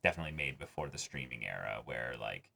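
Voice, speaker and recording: A man with a low voice talks through his opinions as co-host of a reaction show. He keeps it casual and conversational, putting on imagined reactions to make his points. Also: lively intonation, fast talker, gravelly, sharp tone. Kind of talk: casual discussion and critique.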